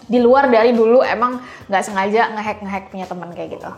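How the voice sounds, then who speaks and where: A woman's voice: medium pitch, brisk, muffled recording, faint music behind. A young woman hosting a casual, chatty interview, informal and dropping in English phrases. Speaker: podcast host, interviewer.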